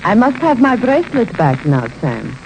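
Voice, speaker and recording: Commanding femme fatale, clipped formal phrasing, animated intonation, noisy old radio drama. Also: female voice, a little high, normal speed. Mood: cool, commanding. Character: imperious, fiery double-crossing femme fatale. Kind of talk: 1940s radio acting. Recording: noisy vintage radio recording.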